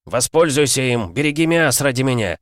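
A man voicing a loyal, defiant young male ship officer in an audiobook, with emphatic, strained shouts. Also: medium pitch, expressive, fairly quick, no echo, clean studio sound, voice pushed up, lightly acted. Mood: urgent, pleading. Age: young adult. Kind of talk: dramatic audiobook character voice.

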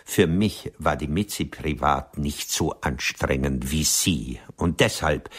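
An actor playing an ageing, urbane womanising writer in a German radio play, speaking in a rough, husky voice with dry, courtly irony. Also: rumbling low, takes it slowly, heavily acted. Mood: cool, matter-of-fact. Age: older.

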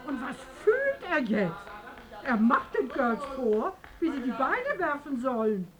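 Very high, slow, wondering woman. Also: very animated, crowd noise. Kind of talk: German radio drama acting.

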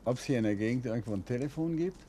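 An actor playing an unflappable, courteous English gentleman detective of middle age in a 1950s German radio play, speaking briskly and wryly. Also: medium pitch, expressive, close to an everyday voice. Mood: practical, brisk. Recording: noisy 1950s radio drama recording.

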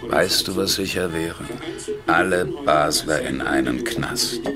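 A man's voice: a bass voice, slow pace, loud music behind the voice. An actor playing a lonely, bitter drunk man in a radio play, rambling and crude in a slurred voice. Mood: maudlin, bitter. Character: maudlin, bitter drunken male barfly, lonely and ranting. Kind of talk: German radio play acting.

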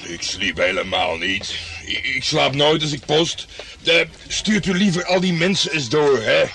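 A man's voice: on the high side, animated, a little slow, gravelly, sharp tone, faint music behind, exaggerated voice. An actor playing a brash, self-important hard-boiled male private detective in a radio play, blustering and condescending. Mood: blustering, irritated.

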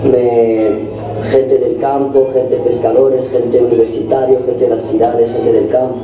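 A man's voice: mid-range voice, level tone, a little slow. A man giving a plain-spoken political statement. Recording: distant echoey playback with music behind.

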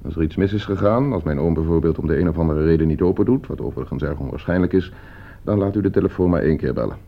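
An actor playing a cool, scheming nephew in a radio drama, speaking in measured, precise and polite phrases. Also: male voice, low voice, gravelly. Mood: coolly instructing. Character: cool, scheming nephew hiring a killer for inheritance. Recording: slightly dull radio play recording.

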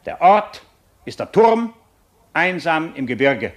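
An actor playing a stern, merciless authority in a theatrical radio drama, declaiming formally and severely. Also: male voice, on the high side, big pitch swings, slow pace, very noisy. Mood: cold, severe.

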